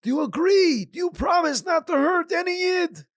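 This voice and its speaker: Man doing a high-voiced old rabbi, earnest and insistent, dry close sound. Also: animated, normal speed, dry recording. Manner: high insistent questioning, mixes in Yiddish words. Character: stern old male rabbi, high and pleading.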